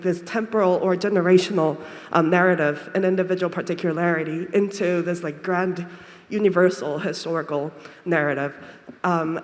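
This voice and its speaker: A woman from Japan asking a long, winding question from the audience, hesitant and full of fillers. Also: lowish voice. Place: lecture hall, audience microphone. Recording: reverberant hall sound.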